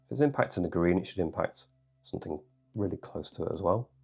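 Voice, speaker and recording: A man with a bunged-up cold voice, calmly talking through a drawing tutorial step by step. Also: deep voice, fast talker, vocal fry, slightly rough, soft background music. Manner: calm, reassuring, thinks aloud. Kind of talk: relaxed step-by-step tutorial narration.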